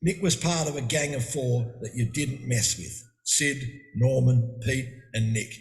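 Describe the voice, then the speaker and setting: A man's voice: mid-range voice, fairly even intonation, measured pace. A middle-aged man delivers a eulogy in a plain, conversational way, stopping for fillers and restarts as he goes. His voice rings through a large hall with a long, roomy reverb. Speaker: eulogy speaker. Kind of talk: funeral eulogy. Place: memorial hall.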